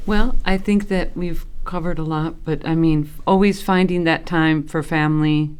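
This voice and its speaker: A woman's voice: deep voice, steady tone, moderate pace, darkish tone, smooth. A woman giving a thoughtful interview answer about family and perseverance.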